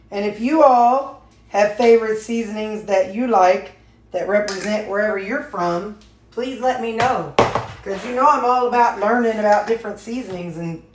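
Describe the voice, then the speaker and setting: A woman talks her viewers through a meal in her home kitchen, chatty and folksy. The kitchen gives her voice a roomy echo, and a little noise hums in the background. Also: mid-range voice. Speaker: vlogger. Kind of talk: casual cooking vlog chat. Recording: echoey kitchen with faint noise.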